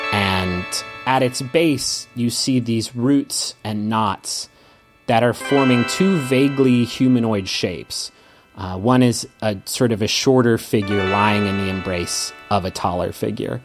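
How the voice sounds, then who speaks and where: A man with a lowish voice runs a tabletop game over faint background music. He improvises his narration haltingly, leaving dramatic pauses as he describes the scene. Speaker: game master. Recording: music under the voice.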